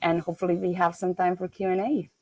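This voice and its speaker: A woman's voice: on the low side, level tone, fast, darkish tone. A woman from Serbia giving an online keynote on a video call, speaking with many hesitations and restarts.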